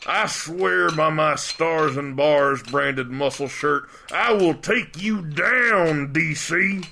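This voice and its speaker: A man's voice: a little high, slow, vocal fry, pushed and bright, a little hiss or hum, full character voice. A man playing a cocky, musclebound army captain with macho swagger in a comic radio adventure. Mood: threatening. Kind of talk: parody radio adventure acting.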